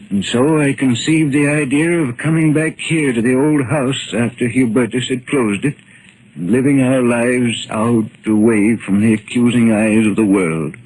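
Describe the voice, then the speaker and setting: Man as a courtly old Victorian gentleman, formal. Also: mid-range voice, close to an everyday voice. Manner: formal, polite, measured. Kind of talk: 1940s radio acting. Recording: noisy old 1940s radio recording.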